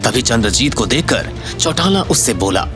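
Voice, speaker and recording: A man's voice: mid-range voice, sing-song, moderate pace, over music. A man narrating an audio story in a scripted, dramatic style.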